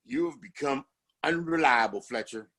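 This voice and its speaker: A man's voice: medium pitch, normal speed, bright, forceful tone. An actor playing a domineering, contemptuous father and town boss in a Western audio play, speaking in a formal, commanding way. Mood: angry. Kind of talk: Western audio drama acting.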